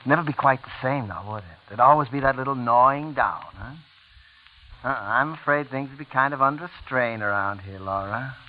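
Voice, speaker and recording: A man's voice: mid-range voice, normal speed, close to an everyday voice. Man playing sly blackmailer, tinny old radio. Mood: sly menace. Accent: American. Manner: smug, insinuating, drawling little 'huh' tags. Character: sly, menacing former prosecutor blackmailing his brother's wife. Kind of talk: 1940s radio drama acting. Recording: tinny, hissy 1940s radio recording.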